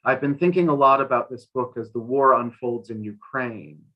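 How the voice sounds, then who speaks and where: A man speaking as a literary translator on a discussion panel, thoughtfully, often halting and repeating a phrase as he goes. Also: mid-range voice, highs cut off. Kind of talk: panel discussion, interpreting and answering questions.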